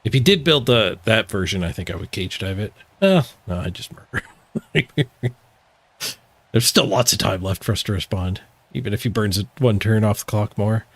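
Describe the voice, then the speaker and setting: A man's voice: on the low side, lively intonation, moderate pace, fairly bright tone, no room sound. A man streaming a video game, giving casual, playful live commentary as he thinks his moves through aloud.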